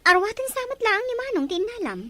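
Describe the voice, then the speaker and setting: A woman's voice: very high-pitched, big pitch swings, fast, sharp tone, full character voice. A woman playing an anxious, bossy lady of the house giving urgent instructions in an audio drama. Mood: urgent, worried. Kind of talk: Ilocano audio drama acting.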